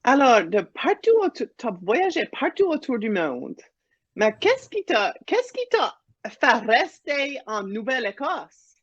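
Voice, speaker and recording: A woman's voice: high-pitched, very animated, takes it slowly, breathy edge, dry recording, muffled recording. A woman hosting a career event on a video call, informal and friendly. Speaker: host.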